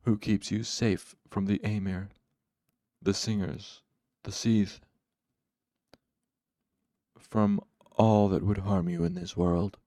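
Man voicing a cold, deep shadowed dark lord. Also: vocal fry, dry recording, studio quality. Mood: calm interrogation. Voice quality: cool, controlled voice. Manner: slow, patient, precise, sharpening to hard command. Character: shadowed hooded dark lord, coldly patient, quietly menacing.

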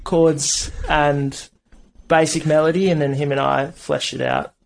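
Australian man, band guest, gravelly, higher pitch, hesitant and thoughtful. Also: flat delivery, unhurried, a little hiss or hum, rough recording. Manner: hesitant, thoughtful, lots of fillers. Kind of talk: casual interview chat.

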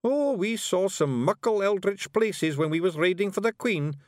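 A man's voice: high voice, expressive, moderate pace, no room sound, studio quality, voice pushed up. A man voicing a boisterous, cheerful little fairy clansman with a Scottish accent in a storybook reading. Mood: boastful. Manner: exclamatory, brash.

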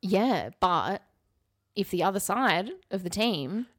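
A woman's voice: mid-range voice, lively intonation, slow, dry recording, studio quality. A young woman co-hosting a podcast in casual conversation, candid and wry, repeating things for emphasis. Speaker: podcast co-host. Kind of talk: casual conversational chat and storytelling.

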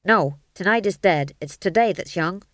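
A woman's voice: fairly low pitch, normal speed, a bit bright, dry recording, studio quality, lightly acted. A woman voicing Howell, a gloomy, wary male dwarf who grumbles dryly. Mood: weary.

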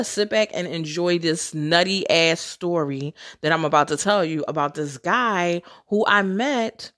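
A woman hosting a casual storytelling podcast, candid and sassy, swearing freely, laughing often and calling her listeners sisters. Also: mid-range voice. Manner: addresses listeners as sisters and girl. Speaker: podcast host.

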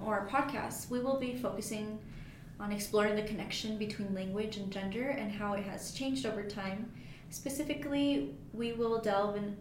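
Young woman, student podcast guest, earnest delivery, echoey room, clear background hiss. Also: fairly high pitch. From United States. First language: Spanish. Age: young adult. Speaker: student co-host. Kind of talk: casual podcast chat.

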